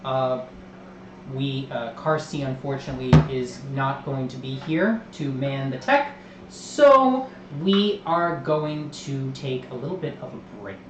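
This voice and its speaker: A man's voice: mid-range voice, unhurried, background noise. Man game master in an echoey room. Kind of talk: livestream tabletop game narration.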